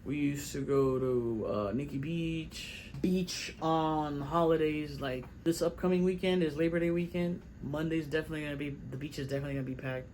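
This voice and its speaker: A man's voice: a little high, moderate pace, hardly any pauses, a little mellow, slight background noise. A young man, a rapper from South Florida talking casually and at length in his recording studio, rambling and repeating himself. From Miami, South Florida. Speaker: rapper and vlogger. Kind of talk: casual studio vlog talk.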